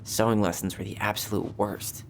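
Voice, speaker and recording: An actor playing a blunt, wounded young American man in a video game, with a low-key sardonic way of talking. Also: steady tone, normal speed, vocal fry, background noise. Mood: dry, wry. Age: young adult. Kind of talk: naturalistic dramatic game acting.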